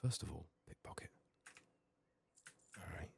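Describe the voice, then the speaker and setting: A man giving soft-spoken, partly whispered ASMR commentary on a game he is playing, murmuring short remarks to himself. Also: unhurried. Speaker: game streamer. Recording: keyboard and mouse clicking noise. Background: computer keyboard typing and clicks.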